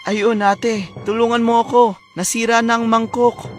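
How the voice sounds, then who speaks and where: A woman's voice: a little high, level tone, normal speed, soft background music, close to an everyday voice. A woman voicing a cheeky, hungry little brother in a children's fairy tale, teasing and whining. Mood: panicked. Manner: teasing, whiny. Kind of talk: children's storybook acting.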